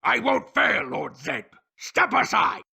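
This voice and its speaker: A man voicing an evil monster henchman in a video game, with a gravelly, theatrical, gloating menace. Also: high voice, very animated, full character voice. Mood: defiant. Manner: theatrical, drawn-out villain delivery. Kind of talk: video game cartoon villain acting. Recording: echoing voice under loud music.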